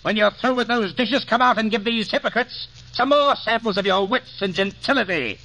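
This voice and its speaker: A man's voice: sits high, moderate pace, pushed and bright, exaggerated voice. An actor playing a bitter, mocking rich man with a showy, sneering manner in a vintage radio drama. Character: bitter, mocking, wealthy host, cruelly theatrical. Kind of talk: 1950s radio drama acting. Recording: noisy vintage radio recording.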